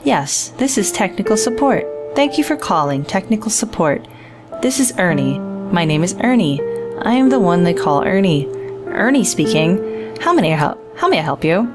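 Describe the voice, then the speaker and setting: A woman's voice: mid-range voice, big pitch swings, moderate pace, loud music behind the voice, lightly acted. A woman voicing a sardonic, burned-out male tech support worker who rants in a mock-helpful, exasperated way.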